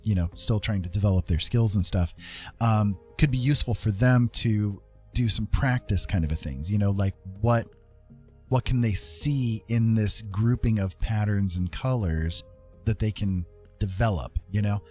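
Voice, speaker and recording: A man's voice: lowish voice, moderate pace, darkish tone, soft background music. A man hosting a casual drawing tutorial, thinking aloud in a rambling, informal way with frequent tag questions. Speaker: art tutorial host.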